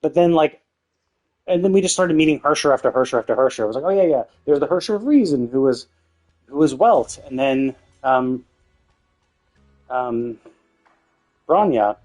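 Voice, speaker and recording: A man's voice: medium pitch, animated, moderate pace, pauses often, a little mellow, faint music behind, poor audio. A man live streaming a game, talking casually in a rambling, opinionated way with some swearing.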